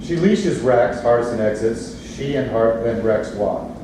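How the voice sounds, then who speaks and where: A distant, noisy recording in a very echoey space. A man with a mid-pitched voice narrates the stage directions of a play reading. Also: animated, unhurried.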